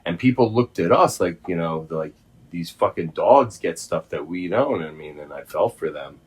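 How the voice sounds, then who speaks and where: A middle-aged man in a casual phone conversation, speaking informally and haltingly, with some swearing. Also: deep voice, animated, breathy edge. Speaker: host, former prisoner.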